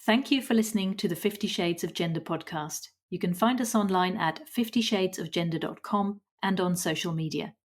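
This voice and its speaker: A woman hosting a podcast, who listens softly and affirmingly, then reads a clear sign-off. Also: lowish voice, moderate pace, hardly any pauses. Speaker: podcast host. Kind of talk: interview and scripted outro.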